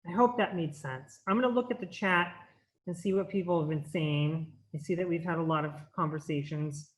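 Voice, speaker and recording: A woman's voice: on the low side, a little fast, rough recording. Woman, workshop presenter, earnest, explanatory, restarts, video call audio. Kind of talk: online conference talk.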